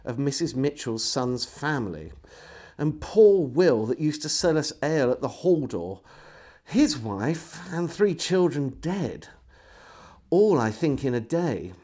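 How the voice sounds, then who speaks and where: A middle-aged man reading a historical diary aloud as a storyteller, in a deliberate, theatrical way. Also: mid-range voice, very animated, warm tone. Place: indoors, furnished room with bookshelves.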